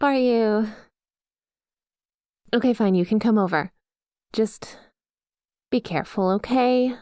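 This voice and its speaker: Casting: woman to play a young female lamia best friend for ASMR roleplay, unhurried, soft, hesitant and affectionate. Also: mid-range voice, no echo, clean studio sound, close to an everyday voice. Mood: sheepish, concerned.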